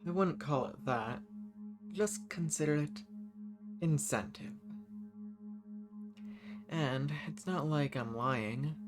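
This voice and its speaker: A man's voice: a little high, slow, long pauses. A man playing a banished ancient villain, seductive, scheming and lonely, speaking intimately and teasingly to the listener in a roleplay audio drama. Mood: flirty, teasing. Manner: flirtatious, teasing, theatrical. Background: music.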